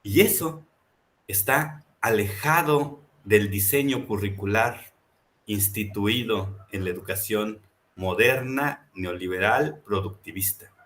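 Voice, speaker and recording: Man, from Mexico, bright voice, impassioned, repetitive rhetoric, book presentation. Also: fairly low pitch, takes it slowly. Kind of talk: reflective book presentation talk.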